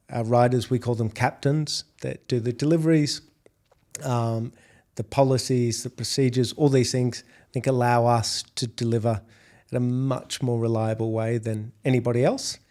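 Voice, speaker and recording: Australian man, middle-aged, warm mellow tone, measured business interview answers. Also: medium pitch. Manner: measured, repeats words when restarting. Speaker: podcast guest, food delivery executive.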